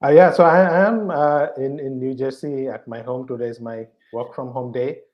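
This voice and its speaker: A man from eastern India, a native Bengali speaker with a Bengali Indian accent, answering casually in an interview at home in halting, repetitive phrases. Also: medium pitch, steady tone, muffled recording. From West Bengal, eastern India. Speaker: podcast guest, bank professional.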